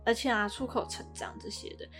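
A woman's voice: on the high side, fairly even intonation, brisk, a bit bright, soft background music. A woman with a Taiwanese Mandarin accent, co-hosting a podcast and explaining things in a conversational way. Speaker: podcast co-host.

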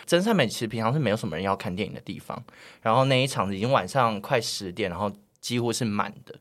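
Animated Taiwanese man chatting on a podcast. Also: fairly low pitch, fast talker. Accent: Taiwanese Mandarin. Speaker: podcast co-host. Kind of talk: casual movie podcast chat.

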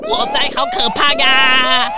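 Rich car owner, kids' audio story: high, slow wails, whiny and cowardly, noisy backdrop. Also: female voice, flat delivery, over a noisy crowd. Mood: panicked. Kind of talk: children's audio drama acting.

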